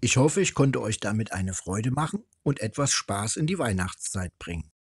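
A man's voice: fairly low pitch, lively intonation, moderate pace, breathy edge, no room sound, studio quality. A man reading a fairy tale aloud as a storyteller.

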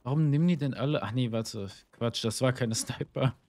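A young man giving casual, rambling commentary while playing a shooter, with a slightly hoarse voice from a cold, swearing often and filling gaps with ums. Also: medium pitch, a little fast, a little mellow, a little hiss or hum. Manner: chatty, swears often, rambling with many fillers. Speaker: gaming YouTuber. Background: game music and gunfire.

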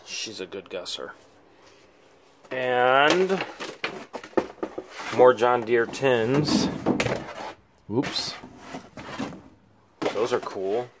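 An excitable man narrating an unboxing vlog in a casual, chatty way and reacting loudly to each item he pulls out. His voice sits in a middling pitch and is picked up by a handheld camera mic in a garage, with faint noise behind him. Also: extremely slow, pauses often. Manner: excitable, exclaims over each find. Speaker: vlog host unboxing storage finds.

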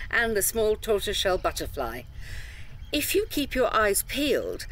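A woman's voice: fairly high pitch, a bit bright, slight background noise. A woman playing a polite, patient tour guide giving instructions to day trippers in an audio drama. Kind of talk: audio drama acting, public briefing.

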